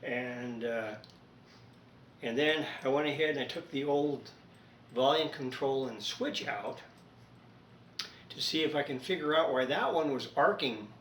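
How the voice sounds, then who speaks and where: A man with an average-pitched voice hosts a homemade repair video from a room in his house. He talks casually and takes his time, rambling along in a folksy, informal way. Noticeable background noise sits in the recording. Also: unhurried, pauses often, a bit bright. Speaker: hobbyist radio repairer and video host. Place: indoors in a room at home. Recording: clearly noisy home video recording.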